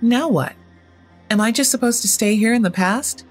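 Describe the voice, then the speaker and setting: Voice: mid-range voice, moderate pace, faint music behind, voice pushed up, close to an everyday voice. A storyteller voicing a blunt, sarcastic teenage girl who questions things with open disbelief in an audio horror story. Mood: questioning.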